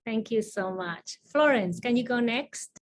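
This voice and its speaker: A woman from Spain with a Spanish accent, moderating an online panel as an assistant dean, talking quickly with frequent fillers and restarts. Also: a little high, animated. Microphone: video call.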